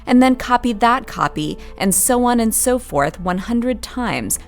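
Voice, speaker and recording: A woman reading scripted documentary narration in a clear, explanatory way. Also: medium pitch.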